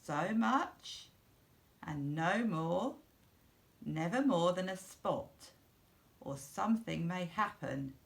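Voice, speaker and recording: A woman voicing a careful male pet shop keeper in a children's storybook, giving measured, cautionary instructions. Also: lowish voice, lively intonation, gravelly, full character voice.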